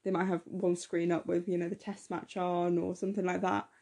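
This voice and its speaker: A teenage girl with a slightly hoarse, husky, gravelly voice. She chats eagerly and loosely on a podcast, full of "like" and "um.". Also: lowish voice, flat delivery, fairly quick, a little mellow. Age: teens. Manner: chatty, enthusiastic, lots of fillers. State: a bit hoarse. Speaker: podcast co-host. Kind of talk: casual podcast chat.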